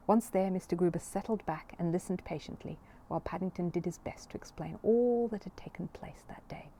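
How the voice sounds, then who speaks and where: A woman reading a children's story aloud in an expressive narrating style. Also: lowish voice, breathy edge. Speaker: storybook reader.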